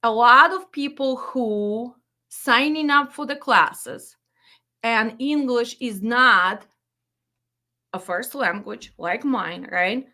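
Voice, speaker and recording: A woman's voice: fairly high pitch, takes it slowly, sharp tone. A woman from Ukraine with a Ukrainian accent, a trucking dispatch trainer speaking directly and firmly as she promotes her webinars. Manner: direct, firm and blunt.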